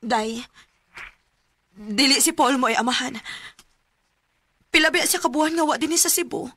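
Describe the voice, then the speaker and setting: A woman's voice: high voice, moderate pace, sharp tone, no echo. An actress with a Cebuano accent playing a troubled, guilt-ridden married woman in an emotional, melodramatic radio drama. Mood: confiding, distressed.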